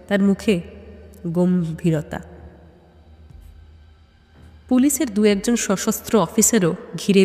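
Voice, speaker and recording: A woman delivers dramatic suspense narration for an audio story. She phrases it in measured pieces with tense pauses. Her voice carries a long, hall-like reverb. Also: fairly low pitch, darkish tone.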